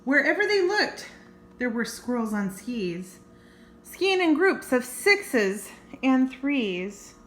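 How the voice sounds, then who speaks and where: A woman's voice: high-pitched, sing-song, takes it slowly, a bit bright, slight background noise. An older woman reading a children's story aloud as a grandmother, expressively, with chatty asides and exclamations. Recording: roomy, echoing home recording.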